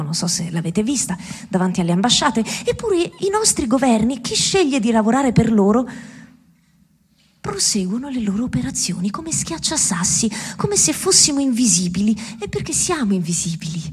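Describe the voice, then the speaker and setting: Woman doing a biting satirical speech live, bit raspy, mid pitch, repeats herself for punch, swears a little. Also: darkish tone. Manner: rhetorical questions, emphatic repetition, sardonic swearing. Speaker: speaker at a benefit event for Gaza. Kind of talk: impassioned satirical monologue to an audience. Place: live public event, speaking to an audience.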